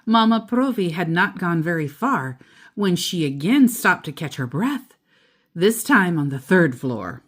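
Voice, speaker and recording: A woman storyteller reading a children's picture book aloud, deliberately and with expressive emphasis on key words. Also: fairly low pitch.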